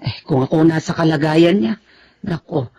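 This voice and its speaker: A woman's voice: low voice, normal speed, mellow, breathy edge. An actress playing a worried, sympathetic mother in a Tagalog radio drama, lamenting with sighs.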